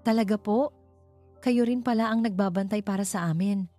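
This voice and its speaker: A woman voicing a calm husband in a storybook, speaking with cold, mock politeness. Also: medium pitch, normal speed, pitched above the performer's own voice, close to an everyday voice. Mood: sarcastic. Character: calm young husband, coldly polite and sarcastic. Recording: music behind the voice.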